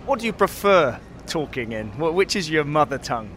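A man's voice: fairly high pitch, very animated, fairly quick, a bit bright, a little hiss or hum. A man interviewing a young tennis player outdoors, asking friendly, conversational questions with small restarts.

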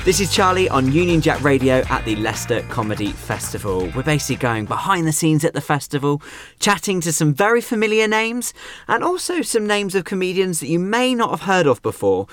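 A man's voice: on the high side, sing-song, a little fast, a bit bright, faint music behind. A man hosting a casual, chatty radio interview, playfully going along with his guests. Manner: jokey, plays along with guests. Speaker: radio host interviewing comedians.

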